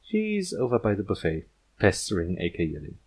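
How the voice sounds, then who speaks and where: A man's voice: deep voice, wildly swooping pitch, normal speed, darkish tone, slightly rough, full character voice. A man voicing an earnest, polite young female unicorn princess in a cartoon story, easily flustered. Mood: deadpan weary. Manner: courteous, sputtering, deflated.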